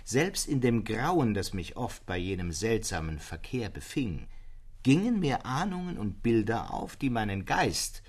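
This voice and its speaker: A thoughtful, solemn storyteller in a literary radio drama. His low voice is husky and moves expressively through each deliberate phrase, recorded close and dry. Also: sing-song, dry recording, heavily acted. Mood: reflective. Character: thoughtful male storyteller Cyprian, fascinated by madmen.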